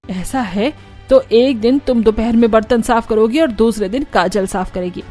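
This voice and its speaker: A woman's voice: on the high side, normal speed, mellow, faint music behind, lightly acted. A woman voicing a bossy middle-aged mother-in-law in a cartoon family story, with a stern, commanding manner. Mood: angry, exasperated. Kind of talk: animated family story dialogue.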